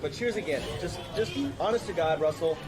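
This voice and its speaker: A man with a high voice offers casual toasts to a group. Music plays clearly behind him. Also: brisk.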